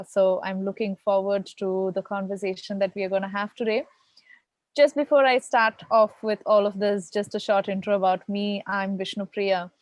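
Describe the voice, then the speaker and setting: A woman's voice: mid-range voice, no echo. A woman hosting a live online interview show, greeting viewers and her guest effusively. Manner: warm, effusive welcomes. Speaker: show host.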